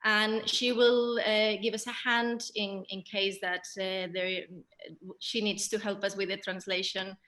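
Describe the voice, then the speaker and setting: A woman moderating a post-show theatre Q&A, speaking haltingly as she searches for words. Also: mid-range voice, level tone, sharp tone.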